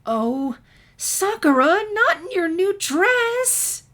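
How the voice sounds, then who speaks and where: A woman's voice: very high-pitched, sing-song, slow, a bit bright, voice pushed up, full character voice. A woman voicing an exasperated, fussing mother in a story. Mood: dismayed. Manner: exclaiming, fussing. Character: exasperated mother scolding her young daughter. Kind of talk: storybook narration character voice.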